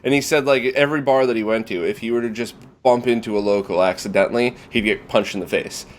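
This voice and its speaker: Animated man telling stories casually. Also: mid-range voice, quick pace, a little hiss or hum. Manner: animated storyteller, swears casually. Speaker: interview host. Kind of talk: casual conversational interview.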